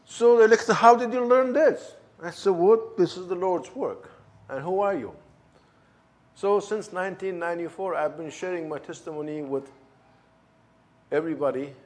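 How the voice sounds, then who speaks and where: Middle-aged guy w a german-turkish accent, kinda high voice, telling his faith story all fired up. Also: normal speed, a little mellow. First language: not English. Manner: emphatic, fervent storytelling with acted-out dialogue. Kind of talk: personal testimony.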